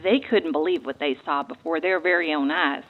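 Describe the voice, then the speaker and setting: A woman's voice: sits low, moderate pace. A woman giving a dramatic reading of eyewitness accounts from a book. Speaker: guest reader. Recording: thin, phone-like narrow band.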